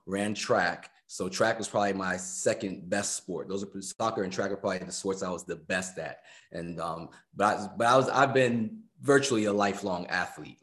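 American guy about 50, kinda low voice, chatty interview guest, repeats stuff for emphasis. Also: a bit bright. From United States. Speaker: guest, personal trainer and musician. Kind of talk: casual interview conversation.

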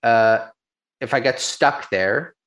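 A man's voice: medium pitch, slow pace, a bit bright. A young adult man, an actor, chatting casually in an interview, laughing easily and restarting his sentences. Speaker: actor and filmmaker guest.

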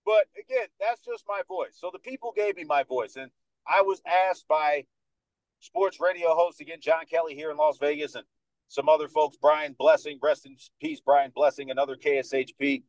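A Dominican American man in his thirties talks with sure, lively confidence, like a guest swapping stories on a podcast. His voice sits a bit higher than most men's. It is recorded close and dry, with no room sound around it. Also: moderate pace, no room sound, poor audio. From United States, New York. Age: 30s. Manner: confident, animated storyteller who acts out other people's lines. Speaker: guest, sports radio host. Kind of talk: casual podcast interview.

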